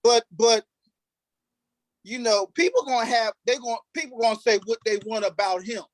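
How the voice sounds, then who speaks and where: A man's voice: very high-pitched, normal speed, dry recording, poor audio. A man who acts on stage, talking informally in an interview and repeating phrases emphatically for stress. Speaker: stage play cast member, actor.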